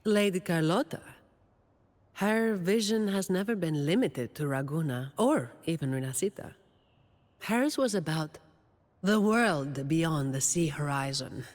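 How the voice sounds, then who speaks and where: A woman playing a weary, dutiful young office employee in a video game, delivering scripted monologue in a dry, deadpan way. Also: low-pitched, measured pace, big-room sound. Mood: earnest, reflective.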